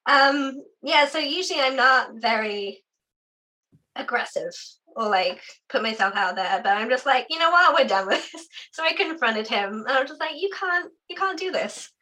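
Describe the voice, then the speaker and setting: Bright, chatty young guest from the UK, voice a little sore, loose conversational delivery. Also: female voice, sits high, expressive, moderate pace, poor audio. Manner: chatty, frequent "like" fillers, laughs often. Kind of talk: casual interview conversation.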